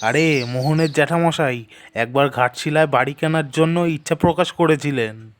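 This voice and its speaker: A man's voice: a little high, normal speed, natural voice. A man playing an eager, persuasive male friend in an audio story, speaking colloquially and emphatically. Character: eager, persuasive young male friend, breezily sceptical of ghosts. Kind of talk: audio story dramatic dialogue.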